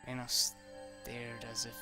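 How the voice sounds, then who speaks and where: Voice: mid-range voice, monotone, slow pace, over music. A person reading a visual novel aloud as a dramatic narration, sometimes stumbling over a line and rereading it. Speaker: let's play narrator.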